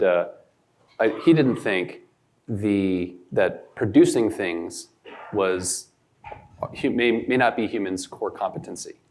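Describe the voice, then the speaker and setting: A man's voice: fairly low pitch, a little mellow. A man moderating a panel, asking questions with curious hesitation.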